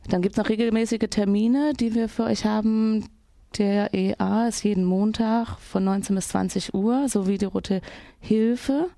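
Female community radio presenter, soft dark tone, dry close sound, halting delivery of informal announcements. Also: medium pitch, no echo. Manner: halting, reading out dates. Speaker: radio host.

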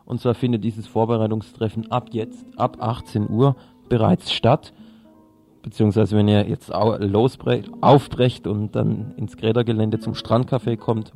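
A man's voice: on the low side, level tone, moderate pace, creaky, warm tone, faint music behind. A man presenting radio news, reading from a script and now and then stumbling over a word.

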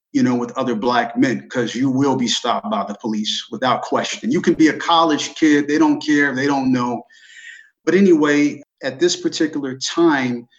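American man, slightly muffled recording. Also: medium pitch. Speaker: panelist. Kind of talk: recounting personal experiences.

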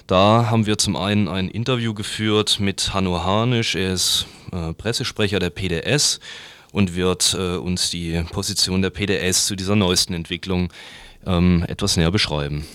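A man's voice: sits low, fairly even intonation, normal speed, fairly bright tone, clear, resonant tone, no room sound. A man hosting a radio news magazine in an informal, somewhat hesitant way, speaking into a studio microphone. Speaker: radio host. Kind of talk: community radio news magazine presenting, partly scripted.

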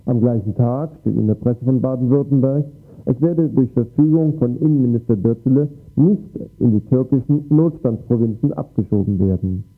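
A man's voice: medium pitch, normal speed. A man presenting local radio news, reading from a script. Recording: thin narrow-band radio, faint music.

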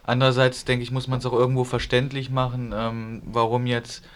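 A man taking part in a panel discussion, setting out arguments in a measured way. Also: mid-range voice, completely flat delivery. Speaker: discussion participant.

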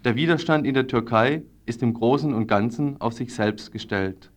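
Mid-pitched man reading a report. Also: moderate pace. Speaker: radio news reader. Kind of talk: scripted political report.

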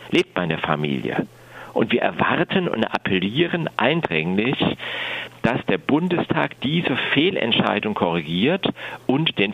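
A man's voice: lowish voice, creaky, lo-fi sound. A man giving a political appeal in a radio interview as a refugee rights advocate, speaking insistently and emphatically.

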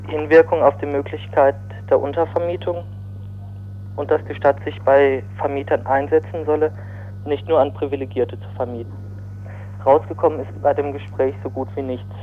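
A man reading out a scripted radio news report by telephone, stumbling and halting now and then. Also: on the high side. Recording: noisy, humming, narrow telephone line.

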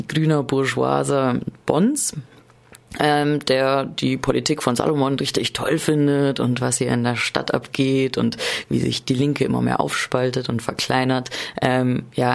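Creaky-voiced reporter, halting and informal. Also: medium pitch. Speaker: radio reporter. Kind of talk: informal spoken event report.

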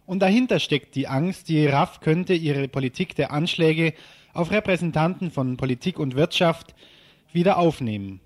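A man's voice: on the high side, moderate pace. A man presenting radio news, reading a scripted political commentary.

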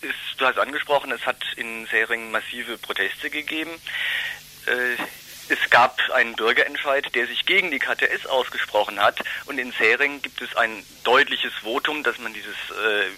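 Bright, forceful-voiced man, political interviewee. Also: mid-range voice, poor audio. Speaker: local political list representative interviewed. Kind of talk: spoken political statement in interview.

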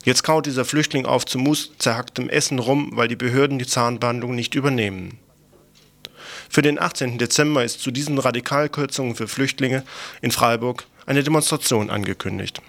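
A man's voice: medium pitch, brisk, creaky, a bit bright. A man presenting a scripted radio news report in a matter-of-fact, critical, faintly sardonic way.

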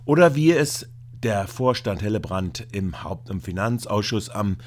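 A man narrating a scripted report with commentary, speaking haltingly, with hesitations. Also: on the low side, expressive.

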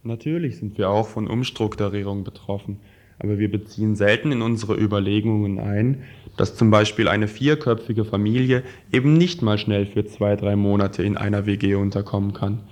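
A man with a fairly low voice reads a scripted political text aloud as a radio presenter. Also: steady tone, normal speed, darkish tone.

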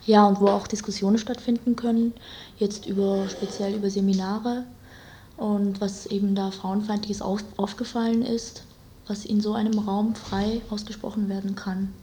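A woman's voice: mid-range voice, level tone, normal speed, dark tone, slight background noise. A young woman answering as an interview guest in a casual, spontaneous way. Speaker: interview guest, student activist.

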